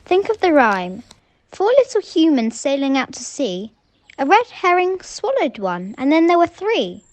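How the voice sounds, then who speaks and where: A scolding, sharp-minded young woman in an amateur radio play acted by a woman. Her high voice swings animatedly as she insists on each point, recorded dry and close. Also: big pitch swings, unhurried, dry recording. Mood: insistent, certain.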